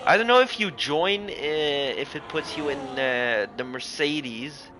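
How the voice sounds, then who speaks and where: A man's voice: a little high, takes it slowly, noisy background. A man from Europe giving casual live sim racing commentary as a livestream host, mixing it with jokey, mock-indignant banter.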